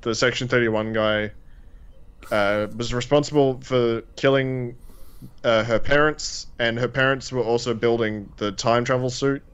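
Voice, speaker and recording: A man's voice: mid-range voice, steady tone, unhurried, a bit bright, smooth, highs cut off. A man in his twenties with an Australian accent, co-hosting a casual podcast chat and rambling with lots of fillers and restarts. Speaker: podcast co-host.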